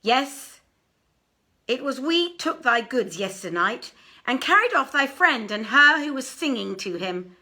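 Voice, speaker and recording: A woman's voice: sits high, sing-song, unhurried, sharp tone, voice pushed up, full character voice. A woman voicing a commanding band of robber brothers in a storybook, with solemn, archaic phrasing. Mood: frank.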